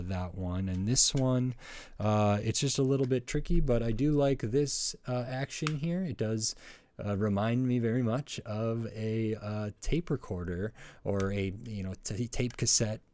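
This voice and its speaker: A middle-aged man giving a casual hands-on review, speaking in a halting, hesitant way with frequent pauses. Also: lowish voice, darkish tone, no echo. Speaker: toy review channel host.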